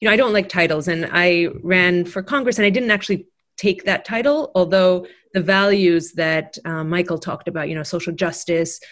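A woman's voice: on the low side, fairly even intonation, normal speed. A woman from Greece speaking in a political discussion, reflective and careful, qualifying her points as she goes. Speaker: progressive panelist, former congressional candidate.

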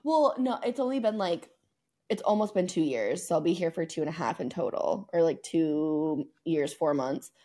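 A woman's voice: sits low, expressive, normal speed, warm tone. A young American woman co-hosting a podcast in casual chat, talking in a chatty, emphatic, slangy way. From United States. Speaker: podcast co-host.

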